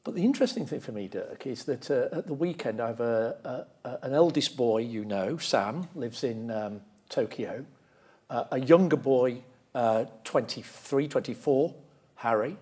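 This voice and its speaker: A middle-aged man interviewing on stage in a deliberate, emphatic way. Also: mid-range voice, animated, warm tone, husky. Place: large lecture theatre stage.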